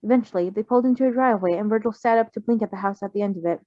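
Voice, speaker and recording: A woman's voice: mid-range voice, racing, no echo, lo-fi sound. A woman reading fan fiction aloud and chatting about it casually as a co-host. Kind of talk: story reading, then casual excited chat.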